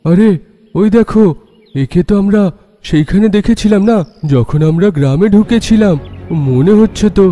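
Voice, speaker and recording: Cartoon hero, voiced by a man: earnest, troubled young man, high pitch, very mellow dark tone, music underneath. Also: moderate pace, long pauses, lightly acted. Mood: alert. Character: determined young man desperately seeking his lost beloved. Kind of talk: animated horror cartoon acting.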